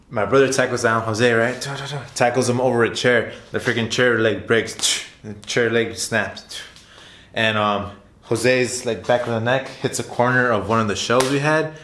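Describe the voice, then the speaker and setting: A young man telling a casual story to viewers as a vlogger, in an animated, chatty way. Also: lowish voice. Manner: animated retelling, chuckles often, addresses viewers as guys. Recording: roomy echo, music underneath.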